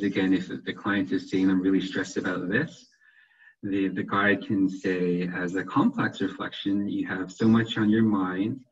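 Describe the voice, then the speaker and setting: A soft-spoken man giving an online lecture, hesitant and often repeating his words, who checks in with "right?". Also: low voice. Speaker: webinar presenter. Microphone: computer microphone on a video call. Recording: muffled video call audio.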